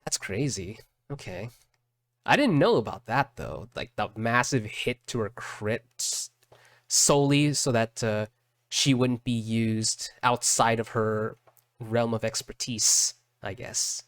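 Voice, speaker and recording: A man's voice: mid-range voice, slow, no echo. A man giving casual, hesitant reaction commentary as a streamer watching game videos. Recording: loud music behind, dry close mic. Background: game trailer music.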